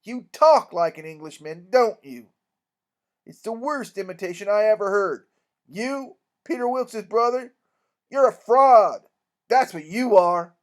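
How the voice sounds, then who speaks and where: Man acting out a storybook country doctor, stern, blunt, indignant, emphatic, high male voice. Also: lively intonation, a little slow, pauses often. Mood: scornful, accusing. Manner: forceful, emphatic, scolding.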